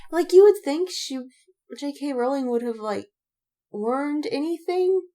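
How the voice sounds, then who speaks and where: A woman's voice: high-pitched, animated, unhurried, mellow. A woman in casual podcast chat about fandom, speaking emphatically with slang and swearing and repeating words for effect. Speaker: podcast co-host.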